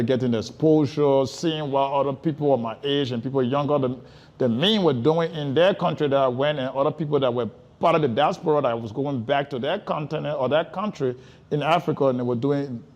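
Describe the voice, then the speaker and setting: A man's voice: medium pitch, brisk, a little mellow, lo-fi sound. A man from Liberia with a Liberian accent, telling his story casually as an interview guest, repeating and rephrasing for emphasis.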